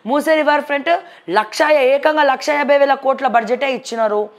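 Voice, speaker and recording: A woman's voice: high voice, moderate pace. A woman news presenter with a Telangana accent, reviewing the papers and commenting on politics in a conversational, blunt, questioning way. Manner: blunt, questioning, addresses people directly.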